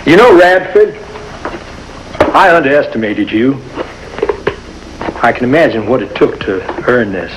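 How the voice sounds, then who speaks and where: An actor playing a gruff but kindly small-town American doctor, brusque and folksy like an uncle, in a 1950s television drama. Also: male voice, medium pitch, lively intonation, unhurried, long pauses, natural voice. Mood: gruffly kind. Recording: noisy old 1950s television soundtrack.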